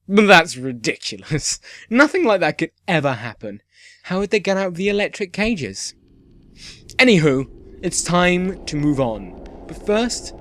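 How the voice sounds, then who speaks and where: A man's voice: mid-range voice, a little hiss or hum, close to an everyday voice. A man playing a cheerful yet sinister dystopian radio host, delivering scripted propaganda in a theatrical radio-play style. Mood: chipper, mocking. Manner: theatrical, dramatic, swinging from grand proclamation to hushed menace. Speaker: radio announcer.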